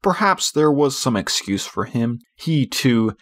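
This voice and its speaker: Man narrating a storybook, mid-pitched. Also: sing-song, a little slow, fairly bright tone. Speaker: audiobook narrator.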